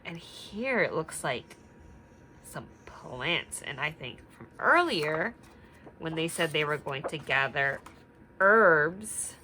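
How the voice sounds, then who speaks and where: A woman reads aloud to young children as their teacher, pausing to ask and explain. Her voice is bright and very expressive, taken at a slow pace, with faint noise behind it. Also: sits low. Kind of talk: children's read-aloud with questions to listeners.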